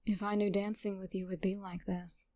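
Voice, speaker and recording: A woman's voice: mid-range voice, flat delivery, moderate pace, warm tone, no room sound, natural voice. A woman voicing an eager, smitten young science nerd with a cheeky streak in a story reading. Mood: soft, fond. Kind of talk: fan fiction audio reading.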